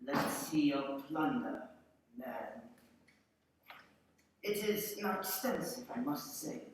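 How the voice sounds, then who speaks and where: Slow-talking, sly old junk dealer. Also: male voice, fairly high pitch, very animated, background noise. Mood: stingy appraising. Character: sly old male fence, stingy, mock-gallant junk dealer. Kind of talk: live radio play acting. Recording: echoey hall, far from the mic.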